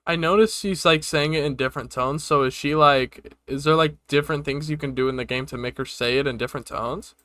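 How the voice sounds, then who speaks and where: A man's voice: a little high, a little fast, hardly any pauses, pushed and bright, no room sound. A man hosting a reaction video with casual, slangy, informal commentary.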